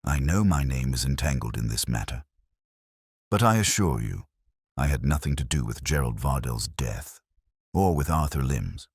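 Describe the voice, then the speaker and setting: A cornered, banker-like man, played by a male narrator pleads in formal, defensive phrases. The audiobook voice is deep and husky, with lively rises and falls. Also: normal speed, no room sound, clean studio sound, exaggerated voice. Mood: anxious pleading. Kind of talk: detective audiobook dialogue.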